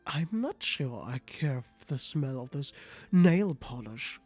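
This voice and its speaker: Woman voicing a haughty, husky female cat. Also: rumbling low, sing-song, a little mellow, no room sound, heavily acted. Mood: haughty, curious. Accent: German. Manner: formal, pompous, slow and theatrical. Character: haughty, entitled, easily offended female cat. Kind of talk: acted reading of a visual novel. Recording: music behind the voice.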